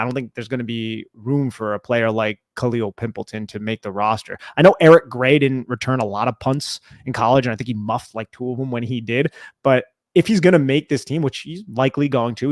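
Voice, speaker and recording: American guy on a sports q&a, medium pitch, casual analyst chat, very dry room. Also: no room sound. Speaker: sports talk show co-host.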